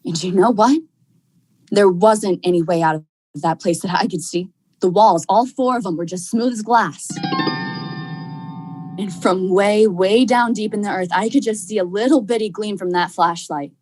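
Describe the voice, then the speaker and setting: A woman's voice: mid-range voice, normal speed, a little mellow, soft background music, natural voice. A young woman acting a tough, dismissive male crook in vintage radio drama style, with folksy slangy delivery. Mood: wry narrating. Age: young adult. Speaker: student actor.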